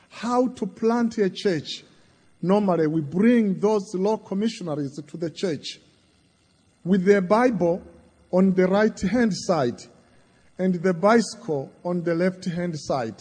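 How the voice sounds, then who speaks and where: A man from Tanzania whose first language is Kiswahili, preaching a sermon in short, deliberate phrases as a visiting church leader. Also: high-pitched, expressive, a little mellow, slightly rough. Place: large church. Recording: long church reverb.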